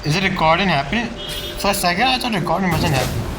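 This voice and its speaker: A man's voice: high-pitched, lively intonation, very fast. A man streaming a video game, giving casual commentary in short, muttered reactions. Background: game music.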